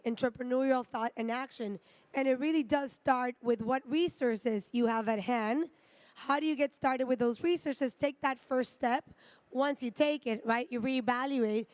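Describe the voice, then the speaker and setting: A woman with a somewhat high voice moderates a fireside chat. She explains in measured, conversational phrases with small pauses. The sound is dry, with hardly any room echo. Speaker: interviewer from a college.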